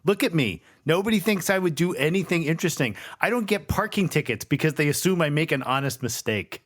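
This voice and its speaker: A man's voice: on the high side, expressive, brisk, fairly bright tone. A man roleplaying a self-assured, unassuming character in a tabletop game, earnest and matter-of-fact. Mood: confident.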